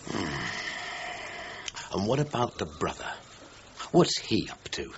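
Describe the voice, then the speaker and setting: An actor playing a sceptical, probing senior police inspector in a radio crime drama, with a dry, questioning manner. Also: male voice, on the low side, sing-song, slow. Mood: musing. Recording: dull lo-fi audio, faint noise.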